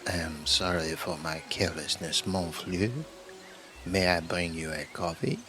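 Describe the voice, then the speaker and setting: Doting husband man acting, fantasy drama: low, gentle, courteous, noisy ambient track. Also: steady tone, unhurried. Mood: tender, apologetic.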